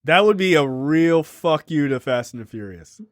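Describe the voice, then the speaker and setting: Slightly high-voiced man in casual banter. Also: expressive, normal speed, no room sound, studio quality. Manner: informal, repeats himself for emphasis. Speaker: podcast co-host.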